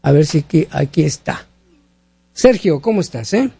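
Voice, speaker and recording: A man's voice: on the high side, expressive, normal speed, vocal fry, mellow, husky, dry recording, clean studio sound. A man hosting a radio show, chatting casually, laughing often and correcting himself. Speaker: radio host.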